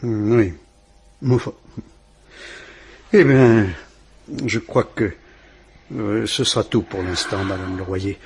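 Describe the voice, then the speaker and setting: An actor playing a courteous police inspector who questions a witness in a measured, reassuring way, in a vintage French radio drama. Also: male voice, fairly low pitch, steady tone, breathy edge, full character voice. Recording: old radio play recording.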